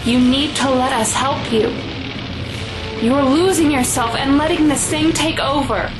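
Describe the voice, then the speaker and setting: A woman's voice: high-pitched, measured pace, low-quality recording. A woman playing a worried, pleading mother in an audio drama, anxious and earnest. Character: worried, pleading mother of a dangerous teenage son. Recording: music under the voice.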